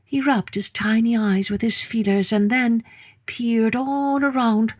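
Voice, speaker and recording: A woman telling a children's story in an expressive, dramatic way, addressing the listener directly. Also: a little high, unhurried. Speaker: storyteller, podcast host.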